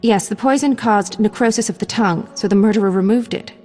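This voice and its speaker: A female actor plays a brisk young medic in a fantasy game. Her mid-pitched voice is clinical, confident and matter-of-fact. It rings with long reverb over music. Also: darkish tone, lightly acted. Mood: analytical. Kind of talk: video game voice acting.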